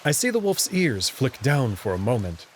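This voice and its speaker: A man's voice: mid-range voice, very animated, moderate pace, a little hiss or hum. A man reading first-person visual novel narration and dialogue as the story's protagonist.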